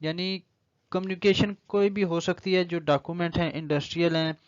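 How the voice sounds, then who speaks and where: A man's voice: high voice, fairly even intonation, normal speed, smooth, no room sound. Pakistani man lecturing, slightly dull recording. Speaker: law lecturer. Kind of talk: explanatory lecture, reading statute aloud then explaining.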